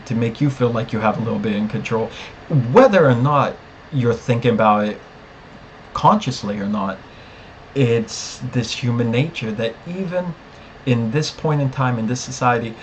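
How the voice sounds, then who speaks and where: Male co-host, medium pitch, earnest and emphatic TV commentary, some background noise. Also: muffled recording. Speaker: podcast co-host. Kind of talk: discussion of a TV episode.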